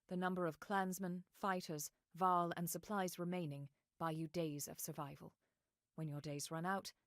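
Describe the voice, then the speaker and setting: A woman's voice: lowish voice, fairly bright tone, no echo, studio quality, lightly acted. A woman playing a grave storyteller, giving solemn scripted narration for a war-saga video game.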